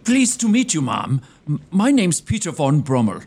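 Man putting on high, flustered, stammering young suitor. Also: huge pitch swings, normal speed, vocal fry, darkish tone, husky, full character voice. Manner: stammering, protesting. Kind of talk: comic audio drama acting.

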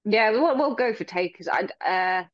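Bubbly woman with a lively, bouncing voice. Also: mid-range voice, moderate pace, dry recording, lo-fi sound. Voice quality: loud voice. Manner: bubbly, laughs often, animated retelling. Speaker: ring announcer. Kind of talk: casual interview chat.

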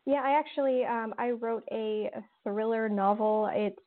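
A woman's voice: a little high, fairly even intonation, moderate pace. A woman being interviewed about her audio drama podcast, answering casually with many fillers and restarts. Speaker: podcast creator and guest. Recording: tinny phone-like line.

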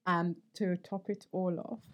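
A woman's voice: on the low side, steady tone, a little slow. A young woman chatting casually with a friend, teasing and laughing often. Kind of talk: casual chat.

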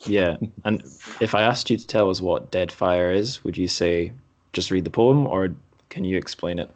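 A man's voice: sits low, normal speed, a little mellow, no echo. A man hosting a podcast, speaking in conversation or reading aloud. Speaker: podcast host.

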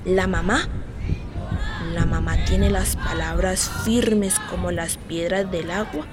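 A woman's voice: on the low side, steady tone, slow, music in the background. A woman playing an innocent, wondering eight-year-old girl who tells her story in simple, repetitive childlike words in a radio drama. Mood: puzzled, wondering.

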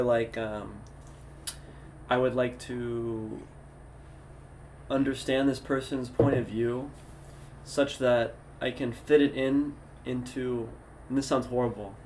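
A young man co-hosting a podcast in casual chat, playful and quick to laugh, often restarting his sentences as he thinks aloud. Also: mid-range voice, a little mellow, a little hiss or hum. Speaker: podcast co-host.

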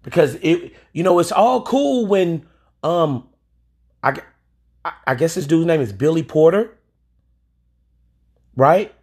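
A man's voice: fairly high pitch, animated, slow, pauses often, darkish tone, breathy edge. A man in his forties hosting a solo podcast, talking in an emphatic, opinionated way with occasional swearing. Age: 40s. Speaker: podcast host.

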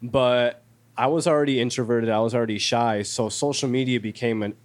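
A man's voice: mid-range voice, steady tone, a little fast. A young man with an ordinary mid-range voice shares his own experiences in a podcast conversation. He is candid and self-reflective, and he talks things through openly. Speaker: podcast guest. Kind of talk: personal reflection in casual chat.

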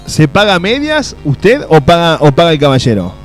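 Teasing Rioplatense radio host. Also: male voice, very animated, normal speed. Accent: Rioplatense Spanish. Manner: teasing, jokey, trails off into low asides. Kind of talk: playful radio interview. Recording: music playing behind the voice.

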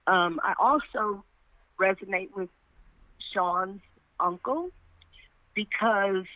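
A woman's voice: medium pitch, lively intonation, takes it slowly, dry recording. A woman speaking earnestly and emphatically as an actress guest in a conversational interview. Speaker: stage actress, panel guest. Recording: muffled phone-like radio line.

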